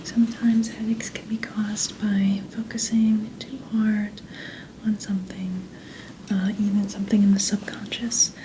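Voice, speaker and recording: A woman speaking in a breathy whisper, gently and soothingly, as a medical examiner in an ASMR role-play. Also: mid-range voice, level tone, unhurried, noisy background.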